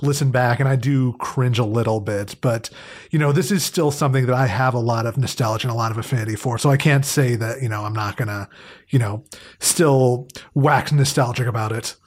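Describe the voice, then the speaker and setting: A man's voice: medium pitch, a little fast. A man co-hosting a podcast, reminiscing casually in a self-deprecating, hesitant way. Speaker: podcast co-host and amateur voice actor.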